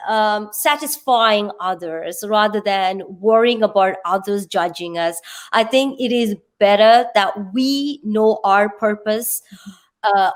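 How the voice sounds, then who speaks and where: A woman hosting a conversational, motivational talk show, searching for words and repeating herself as she asks questions. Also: mid-range voice.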